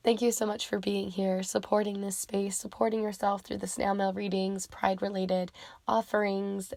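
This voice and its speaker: A woman reading tarot cards for viewers, chatty and rambling with many fillers, her voice croaky and fading from a cold. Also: mid-range voice, darkish tone, dry recording. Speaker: tarot reader. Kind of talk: pick-a-card reading intro.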